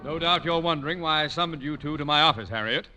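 An actor playing a pompous, stern middle-aged school principal in a 1940s radio comedy, commanding and clipped. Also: male voice, on the high side, quick pace, over music, close to an everyday voice. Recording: noisy old radio recording with clicks.

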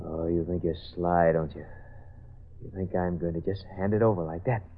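A man's voice: low-pitched, fairly even intonation, normal speed. An actor playing a sly, menacing male killer who taunts and flares into rage, in 1940s radio acting style. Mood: taunting. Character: unhinged adult male killer, smug and menacing. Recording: tinny old radio broadcast.

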